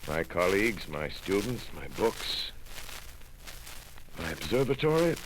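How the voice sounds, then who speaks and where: A brooding, haunted astronomer, left alone in the world. His deep, low voice halts between words as he speaks in a 1930s radio play. A faint hiss from the old broadcast sits under every line. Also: sing-song. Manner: brooding, halting, introspective. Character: lone shaken male astronomer, middle-aged survivor. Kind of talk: 1930s radio drama monologue.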